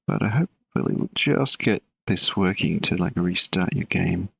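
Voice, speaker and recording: Male game dev, live stream; deep, husky, rambling thinking-aloud delivery. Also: moderate pace. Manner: relaxed, mutters while working, chats with viewers between code.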